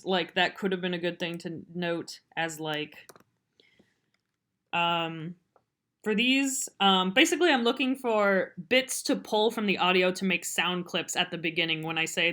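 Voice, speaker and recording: A woman talks through a software tutorial in a casual, unscripted, rambling and self-deprecating way. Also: on the low side. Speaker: podcast editor giving a software tutorial. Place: voice-over on a computer screen recording.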